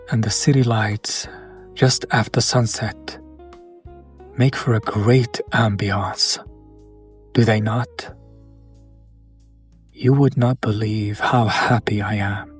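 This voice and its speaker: A man's voice: medium pitch, lightly acted. A man playing a charming, old-world vampire in a romantic audio roleplay, speaking to his beloved in formal, attentive, archaic phrasing. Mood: yearning, tender. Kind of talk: romantic audio roleplay, intimate scripted acting.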